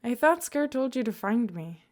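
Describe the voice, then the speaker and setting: A woman's voice: a little high, animated, normal speed, darkish tone, voice pushed up, natural voice. Woman as a wry, exasperated college guy. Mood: wry, teasing. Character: wry, exasperated young male computer science student.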